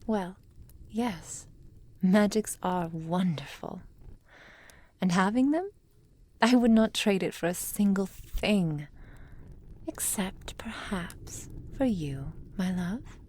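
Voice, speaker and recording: A woman playing a proud, lovelorn immortal witch in an intimate ASMR roleplay, speaking softly and breathily in formal, deliberate phrases, often in a whisper. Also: mid-range voice, expressive. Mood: adoring, satisfied. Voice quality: soft, breathy, frequently whispered.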